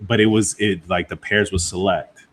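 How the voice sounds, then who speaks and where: American guy on a podcast, low voice, slangy casual talk. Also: fairly even intonation, normal speed. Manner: slangy, informal. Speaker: podcast guest. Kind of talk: casual chat.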